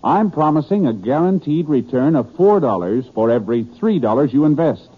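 A man's voice: fairly high pitch, very animated, moderate pace, breathy edge. Male American announcer for vintage 1950s radio, showy sales delivery with big melodic swings, slightly muffled broadcast sound. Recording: dull, lo-fi old radio broadcast.

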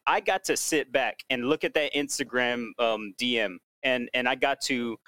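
A man speaking as a podcast guest in casual conversation, with a deeper voice and clear enunciation. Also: moderate pace, bright, forceful tone, dry recording.

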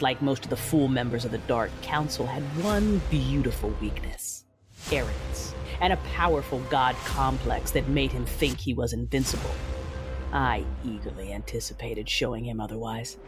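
Woman acting a cold, vengeful Sith mother over music. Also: rumbling low. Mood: cold, bitter. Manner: measured, solemn, menacing. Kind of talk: dramatic first-person scripted narration.

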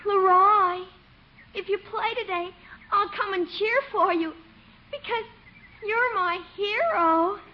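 A woman's voice: very high-pitched, takes it slowly. A woman playing a melodramatic, lovestruck little schoolgirl in an old radio comedy. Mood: pleading. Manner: gushing, dramatic. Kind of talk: radio sitcom acting. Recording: muffled, faintly noisy old radio recording.

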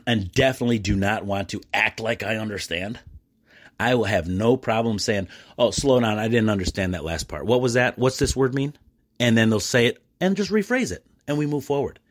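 A middle-aged man with an American accent and a fairly low voice talks casually on a solo podcast. He is chummy and animated, slipping into quoted exchanges as he tells his stories. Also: moderate pace. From United States. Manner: animated, self-describedly chummy and jokey. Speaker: podcast host.

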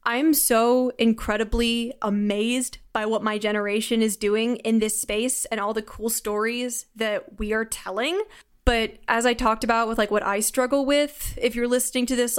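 Young woman on a podcast interview, kinda high voice, gushy and emphatic, casual chat. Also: flat delivery, moderate pace, clear, resonant tone. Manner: enthusiastic, emphatic. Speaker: podcast guest, audio drama writer and sound designer.

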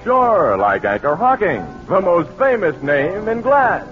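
A man's voice: sits high, very animated, measured pace. An American man announcing and narrating from a script in polished 1940s radio style. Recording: muffled 1940s radio broadcast, music behind.

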